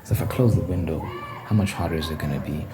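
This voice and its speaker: A man's voice: low-pitched, fast talker, a crowd in the background, background noise. A man from Zimbabwe talking casually to camera in his room, rambling and correcting himself as he thinks aloud. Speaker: ASMR channel host. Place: bedroom with window.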